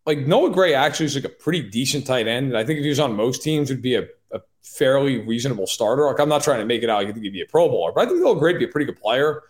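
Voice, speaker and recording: A man giving quick, opinionated sports analysis as a podcast guest, with wry joking asides. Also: mid-range voice, animated. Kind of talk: casual sports analysis chat. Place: live-streamed podcast.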